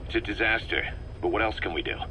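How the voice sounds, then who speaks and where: Hard, bitter military commander, bright forceful voice. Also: male voice, lowish voice, quick pace. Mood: matter-of-fact, frustrated. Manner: terse, accusatory. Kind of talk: dramatic video game voice acting. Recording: slightly noisy cassette tape recording.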